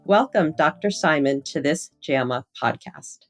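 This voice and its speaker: A woman podcast host with a very lively, varied intonation. She delivers prepared interview questions in formal, professional phrasing, with faint music in the recording. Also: deep voice, measured pace.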